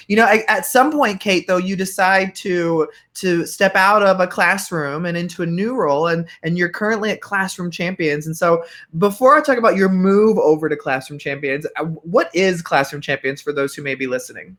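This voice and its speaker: A man's voice: on the high side, fairly bright tone. A man hosting an interview, encouraging and informal, with many fillers. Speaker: podcast host.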